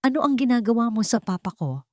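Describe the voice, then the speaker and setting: A woman's voice: a little high, sing-song, fast, dry recording, clean studio sound, voice pushed up. A woman voicing a cold, suspicious rich young male CEO in a story, curt and threatening in manner. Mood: angry, accusing.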